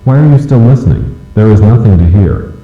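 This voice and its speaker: A man's voice: fairly low pitch, expressive, brisk, soft background music, lightly acted. A man playing a cold, taunting entity that hijacks an emergency broadcast in a horror drama. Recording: tinny, echoey, distant broadcast with hum.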